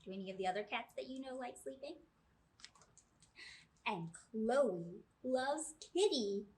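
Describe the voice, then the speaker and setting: Children's storytime reader: woman, high and very lively pitch, expressive delivery, questions to the kids. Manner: expressive, drawn-out key words, questions to listeners. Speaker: children's librarian and storytime host. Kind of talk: read-aloud storytime for young children. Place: small indoor room with brick wall.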